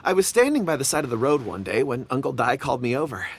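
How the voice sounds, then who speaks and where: A man voicing an affable, courteous and enthusiastic gentleman rock expert in a video game, eloquent and slightly theatrical. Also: mid-range voice, big pitch swings, fast talker, slight background noise. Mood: musing, eloquent. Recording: music behind the voice.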